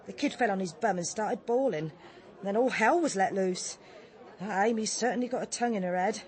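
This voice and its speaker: A woman's voice: mid-range voice, a little fast, a faint crowd, lightly acted. An actress playing a blunt, chatty English village woman in a radio drama, telling her account in colloquial, indignant style. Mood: indignant, relishing. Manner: colloquial, gossipy, indignant storytelling.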